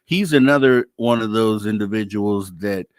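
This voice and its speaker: A man's voice: fairly low pitch, normal speed, no echo, clean studio sound. A man hosting a live radio talk show in a casual, informal, effusive way.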